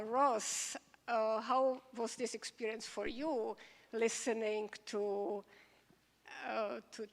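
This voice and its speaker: A woman scholar asking questions onstage before an audience, hesitant and restarting as she goes. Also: on the high side. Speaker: scholar moderating an onstage conversation. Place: stage of a large hall before an audience. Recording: reverberant hall sound.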